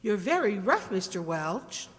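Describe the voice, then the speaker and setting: A woman's voice: mid-range voice, sing-song, unhurried. A woman playing a spirited, chatty housewife in an old-style radio sitcom. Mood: indignant. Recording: long hall reverb.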